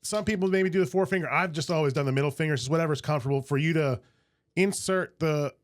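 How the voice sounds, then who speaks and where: A man's voice: fairly high pitch, fast talker. A man hosting a podcast interview, friendly and enthusiastic, with many fillers and restarts. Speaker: podcast host. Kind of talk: casual interview.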